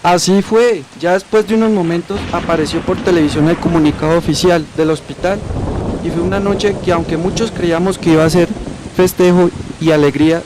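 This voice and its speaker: Man doing a high-voiced salty Colombian grandpa. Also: close to an everyday voice. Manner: colloquial, salty, emphatic. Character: salty, plain-spoken Colombian grandfather, grumbling about corruption. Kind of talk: amateur radio drama acting. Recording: noisy amateur recording.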